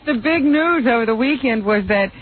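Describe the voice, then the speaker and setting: A woman's voice: fairly high pitch, expressive, normal speed. A woman co-hosting a radio show, chatting casually, laughing often and teasing her partner. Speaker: radio news co-host. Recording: tinny phone-like radio recording.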